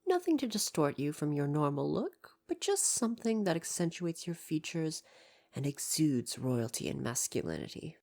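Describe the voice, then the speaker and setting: A woman as an expressive, formal royal butler. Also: sits low, normal speed, exaggerated voice. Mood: attentive, fond. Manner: formal, deferential, precise wording. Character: devoted, formal, parental royal butler, gently fussing. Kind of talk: ASMR roleplay.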